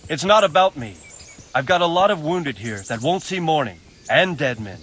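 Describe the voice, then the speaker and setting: A man playing a gruff, weary, wounded lord in a medieval video game. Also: a little high, animated, normal speed, fairly bright tone, a little hiss or hum. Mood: weary, pained. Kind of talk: video game dialogue acting.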